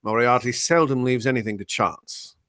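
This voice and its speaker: A man playing a brisk, bossy, condescending detective who repeats himself, in a loose comic parody of radio drama. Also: medium pitch, a bit bright, close to an everyday voice. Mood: brisk, impatient. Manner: halting, repeats himself to correct others.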